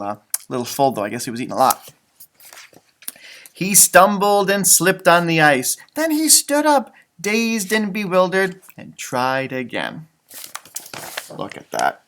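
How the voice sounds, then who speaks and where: A man reads a picture book to children with a highly animated, swooping delivery. He stretches out the story words expressively, then drops into casual, chatty asides as he explains things like a teacher. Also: normal speed. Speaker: storybook reader, elementary school teacher.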